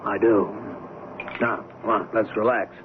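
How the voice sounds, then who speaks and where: A man's voice: on the low side, steady tone, measured pace, lightly acted. An actor playing a cool, brazen male schemer with an assured, persuasive manner in a radio drama. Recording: tinny radio broadcast, faint hiss.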